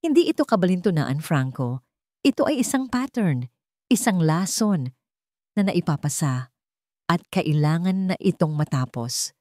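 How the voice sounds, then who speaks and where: Lady doing a serious rich ceo guy, brooding but soft inside, close dry sound, radio drama style. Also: lowish voice, big pitch swings, measured pace, long pauses, dry recording. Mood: stern. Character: stern, brooding wealthy male CEO, secretly tender.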